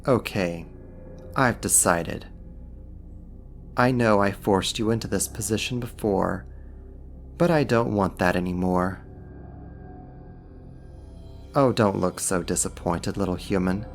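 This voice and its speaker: A man's voice: deep voice, vocal fry, soft background music, full character voice. A man playing a smug, possessive archdemon in an ASMR roleplay, teasing and coaxing a human in an intimate, amused manner. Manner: teasing, smug, deliberate delivery. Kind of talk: ASMR roleplay acting, intimate second-person monologue.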